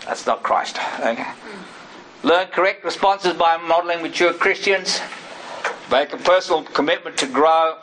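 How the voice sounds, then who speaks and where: A man's voice: fairly high pitch, moderate pace, fairly bright tone, slight background noise, rough recording. A man teaching an informal sermon, talking conversationally with personal asides and frequent checks with his listeners. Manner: personal asides and self-disclosure.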